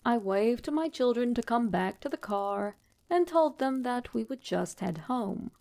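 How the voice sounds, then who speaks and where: A woman reading a scripted horror story as a narrator, in a measured, dramatic manner. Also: a little high, lively intonation, gravelly, warm tone.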